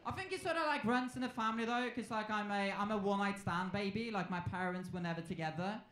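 A man's voice: high-pitched, quick pace, a bit bright. A man in his twenties with a Norwegian accent performing stand-up in a conversational way, playfully acting out exchanges. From Norway. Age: 20s. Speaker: stand-up comedian. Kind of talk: live stand-up comedy routine. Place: on stage in a comedy club. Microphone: handheld stage microphone. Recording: echoey live club sound with noise.

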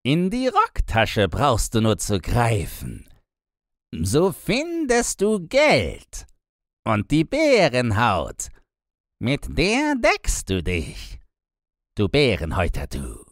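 Storybook Devil: sly, commanding, mocking, theatrical, very animated pitch, slow and drawn out. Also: male voice, no room sound, clean studio sound, heavily acted. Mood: gleeful, mocking. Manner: theatrical, drawn-out, swooping delivery. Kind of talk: fairy-tale audiobook character reading.

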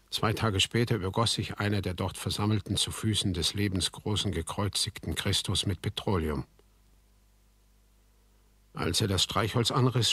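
A man's voice: lowish voice, fairly bright tone, slightly rough, dry recording. A man narrating a literary radio drama from a script.

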